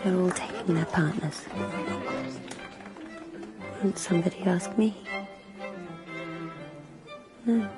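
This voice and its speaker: An actress playing an excitable, anxious young noblewoman in a period radio drama, breathless and girlish. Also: fairly low pitch, measured pace, music in the background. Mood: despairing.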